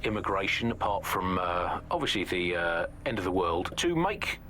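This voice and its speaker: A man's voice: deep voice, very animated, normal speed, pushed and bright, slight background noise. A British man hosting a satirical news podcast, delivering comedy with mock-pompous, theatrical emphasis.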